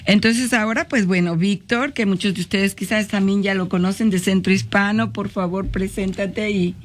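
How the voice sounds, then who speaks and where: A woman's voice: mid-range voice, steady tone, normal speed. A woman hosting a panel, introducing guests and asking questions in a conversational way. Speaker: host.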